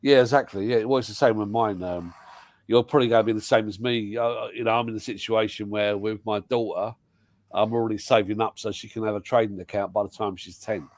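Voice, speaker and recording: A man's voice: lowish voice, steady tone, fairly quick, dry recording. Chatty man, rambling on a dry live call. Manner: rambling, many restarts and yeahs. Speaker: host of live panel chat. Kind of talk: casual chat. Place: home in Thailand.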